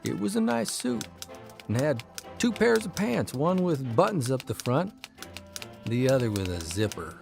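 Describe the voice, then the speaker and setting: A folksy old-school columnist in a radio drama, earnest and self-deprecating, music behind him. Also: male voice, medium pitch, sing-song, measured pace, vocal fry, a little mellow. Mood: exasperated, comic. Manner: plain-spoken, folksy. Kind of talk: scripted first-person audio drama narration.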